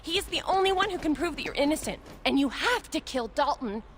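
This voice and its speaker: A woman's voice: sits high, lively intonation, fairly quick, a bit bright, a little hiss or hum. A woman playing a worried, insistent informant in a video game cutscene, speaking earnestly and urgently. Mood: urgent, fearful.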